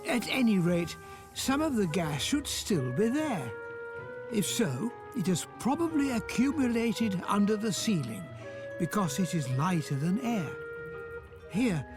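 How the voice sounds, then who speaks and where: A man's voice: high voice, very animated, a little slow, over music. An actor voicing a fussy older male inventor who explains things patiently with a touch of condescension. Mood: explaining. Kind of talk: game voice acting.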